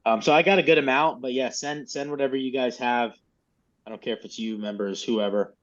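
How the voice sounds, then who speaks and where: A man's voice: mid-range voice, fairly even intonation, fairly quick. A man coaching a group in casual, informal, mildly profane instructional talk over a lo-fi video call. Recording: dull, lo-fi video call audio.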